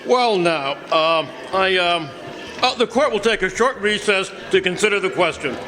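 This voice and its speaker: A man's voice: sits high, expressive, normal speed, crowd noise. A man playing a hesitant, stammering elderly judge and grandfather in an old-fashioned radio drama. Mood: flustered, hesitant. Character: flustered elderly judge and grandfather, anxious politician. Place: live performance on a college campus. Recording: reverberant hall stage recording.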